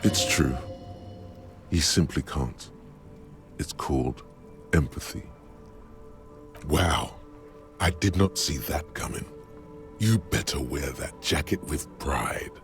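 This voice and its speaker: A man voicing the brooding inner voice and narrator of a detective's mind in a game, theatrical and deliberate. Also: very deep voice, expressive, heavily acted. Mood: dry mockery. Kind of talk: scripted game narration, second person. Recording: music behind the voice.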